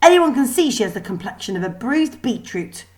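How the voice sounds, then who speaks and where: A woman's voice: a little high, big pitch swings, a little fast, pitched above the performer's own voice. Imperious older schoolmistress for a children's storybook, played by a woman: stern, clipped, scolding delivery. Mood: blustering. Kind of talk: children's storybook read aloud.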